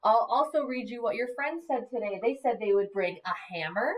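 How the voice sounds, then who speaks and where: A woman teacher reading aloud to young children, expressive and encouraging, using simple emphasized words and pausing to ask questions. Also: fairly high pitch. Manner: encouraging, asks questions and pauses for answers. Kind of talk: interactive read-aloud lesson for young children.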